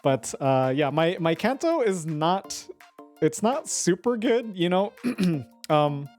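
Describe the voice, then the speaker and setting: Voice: mid-range voice, big pitch swings, slow, darkish tone, faint music behind. A streamer chatting casually on a live stream, giggling often and rambling with lots of fillers. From United States.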